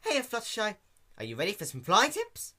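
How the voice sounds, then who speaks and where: A brash young female pony from a cartoon story, acted by a man. The voice is pushed high and bright, swinging widely, loud and boastful in every phrase. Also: wildly swooping pitch, a little fast, full character voice. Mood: upbeat, confident. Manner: loud, cocky, emphatic.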